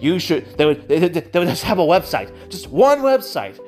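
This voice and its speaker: An excitable, giggly speaker rambling casually about a favourite hobby, often repeating and stammering over words. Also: mid-range voice, moderate pace, soft background music. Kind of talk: casual rambling monologue about audio dramas.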